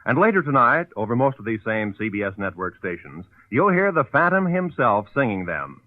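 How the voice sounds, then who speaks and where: An American man announces in a polished, formal 1940s radio manner. His mid-pitched voice moves in lively swings, on a dry, muffled vintage broadcast. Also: normal speed, dry recording.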